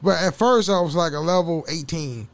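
A man with a somewhat high-pitched voice chats alone into a home mic, loose and rambling. He curses freely and laughs often, and his voice carries a slight hoarseness. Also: normal speed. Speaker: podcast host. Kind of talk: casual solo podcast chat.

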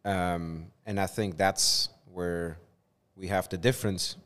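Man, thirties, from Switzerland, non-native English speaker, low pitch, smooth clear voice, conversational pastor storytelling. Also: fairly even intonation, takes it slowly, a bit bright, in a large hall. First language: not English. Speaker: community engagement pastor, podcast guest.

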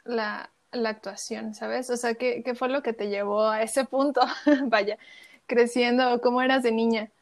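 A woman hosting a podcast, friendly and casual, filling pauses with little words. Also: fairly high pitch, fairly even intonation. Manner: friendly, uses fillers like "este" and "bueno". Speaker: podcast host.

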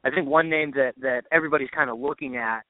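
A man's voice: medium pitch, fast, no room sound. Man on a tinny phone-like line. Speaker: podcast guest, radio play-by-play announcer. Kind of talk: casual sports analysis.

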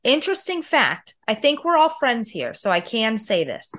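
A woman's voice: on the high side, big pitch swings, normal speed, fairly bright tone. Nasal woman podcaster with a cold. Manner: chatty, confiding storyteller. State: sick with a cold. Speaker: podcast co-host. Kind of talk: casual chat.

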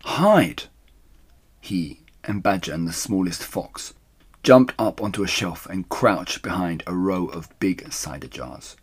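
A man reading a children's story aloud as a dramatic storyteller, suspenseful and hushed at moments. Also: low-pitched, measured pace, breathy edge.

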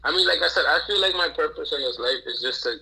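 Bright, forceful man in earnest conversation. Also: fairly high pitch, animated, fast, gravelly, poor audio. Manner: earnest, emphatic, repeats phrases, asks rhetorical questions. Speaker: podcast guest, streetwear entrepreneur. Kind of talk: casual interview conversation.

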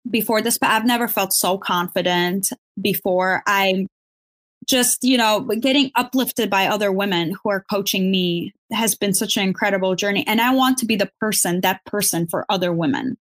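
A woman's voice: medium pitch, lively intonation, moderate pace, fairly bright tone, no room sound. A young woman from Bulgaria, a pageant contestant, answering interview questions earnestly and encouragingly. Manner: earnest, encouraging, uses fillers like kind of.

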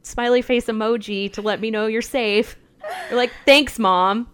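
A woman's voice: fairly high pitch, fairly even intonation, a little slow, fairly bright tone, clear, resonant tone. Sassy woman podcast co-host. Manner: frank, sassy, uses "like" a lot. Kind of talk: casual chat.

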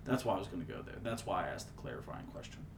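A man co-hosting a casual podcast, joking and banter-driven, with a southern US accent, mumbling one moment and loud the next. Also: sits low, animated, rapid-fire, background noise. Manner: playful, jumps between mumbling and loud exclaiming. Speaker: podcast co-host. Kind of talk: casual chat.

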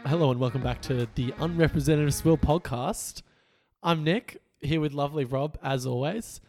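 A young man co-hosts a political podcast in a loose, chatty way. His voice is hoarse and gravelly today. He laughs easily. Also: a little high, normal speed, darkish tone, soft background music. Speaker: podcast co-host. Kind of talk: casual political chat.

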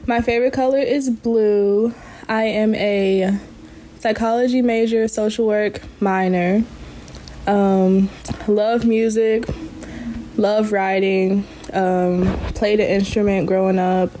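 A woman in her twenties, co-hosting a casual podcast with a relaxed, sassy delivery. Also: mid-range voice, slow, a little hiss or hum. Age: 20s. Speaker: podcast co-host. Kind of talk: casual chat.

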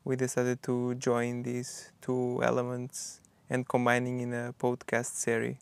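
A man's voice: mid-range voice, monotone, a little slow, creaky, clear, resonant tone, no echo. A man hosting a podcast and talking about his own path, hesitantly, with many fillers and restarts. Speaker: podcast host. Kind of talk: personal storytelling.

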